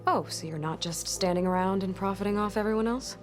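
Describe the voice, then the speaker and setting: An actress playing a young, wry warrior heroine in a video game, speaking in a dry, sardonic, direct way. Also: female voice, medium pitch, brisk, soft background music, lightly acted. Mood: challenging. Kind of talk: video game character acting.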